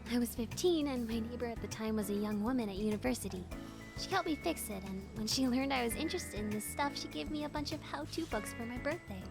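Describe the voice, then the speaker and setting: A woman voicing a confident, calm and slightly aloof young engineer in a visual novel game, speaking in a composed, matter-of-fact way. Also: on the high side, fast, gravelly, soft background music. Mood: matter-of-fact, reflective.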